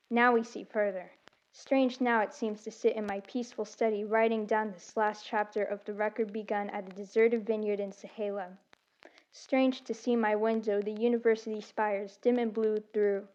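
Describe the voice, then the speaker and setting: A woman's voice: on the high side, a little mellow, lightly acted. Woman playing a solemn professor, dull recording. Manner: solemn, measured, literary narration. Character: reflective female professor, survivor writing a chronicle of disaster. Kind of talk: dramatic radio play monologue. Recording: dull lo-fi sound with music behind.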